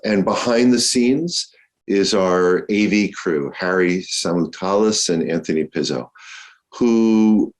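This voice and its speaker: A very low-voiced man hosts a lecture series over a video call. He thanks the people behind the scenes with gratitude before introducing the guest. His speech is loose and full of ums and uhs. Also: takes it slowly. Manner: warm, grateful, with many fillers.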